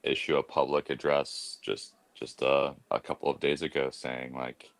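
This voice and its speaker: Man, Midwestern accent, from Canada, thirties, rumbling low voice, hesitant relaxed chat. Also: moderate pace, creaky, no room sound. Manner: hesitant, thinking aloud with many fillers. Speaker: guest. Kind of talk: casual conversation.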